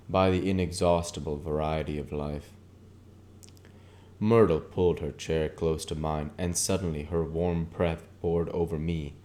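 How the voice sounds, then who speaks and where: A man's voice: extremely low pitch, mellow, slight background noise. A man reading literary prose aloud as an audiobook narrator and channel host.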